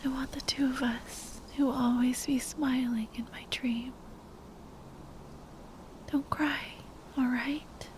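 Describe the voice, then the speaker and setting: A woman playing a tender, grieving spellcaster lover in an ASMR roleplay, speaking in a breathy, halting whisper. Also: sits high, little pitch movement, a little mellow, slight background noise. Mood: tender, sad. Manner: halting, tender, sighing delivery.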